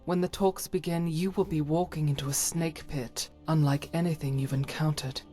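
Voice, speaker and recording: A woman's voice: sits low, steady tone, normal speed, warm tone, soft background music, lightly acted. A woman playing a devout, stern warrior companion in a fantasy game, speaking solemnly in formal, archaic phrases. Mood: exasperated.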